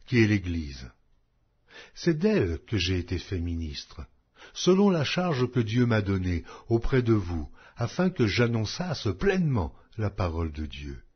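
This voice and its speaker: Male audio Bible narrator: measured and solemn, expressive melodic delivery with a slightly rough, breathy voice. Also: fairly low pitch, dull audio. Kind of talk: solemn scripture reading.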